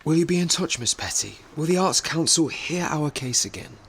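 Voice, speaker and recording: An actor playing an earnest, halting, anxious business manager in a radio drama. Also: male voice, on the high side, lively intonation, a bit bright. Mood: pleading.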